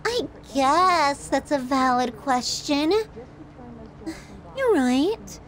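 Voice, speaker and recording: A woman's voice: high voice, full character voice. A woman playing a possessive, sadistic yandere girlfriend in an ASMR roleplay, cooing and teasing with sweet menace. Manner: cooing, drawn-out, teasing, clingy delivery.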